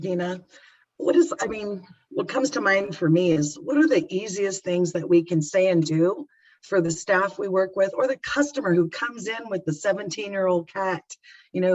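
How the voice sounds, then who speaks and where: A woman's voice: lowish voice, normal speed. A woman moderating a webinar on a video call, speaking emotionally with stumbling restarts. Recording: dull lo-fi call audio.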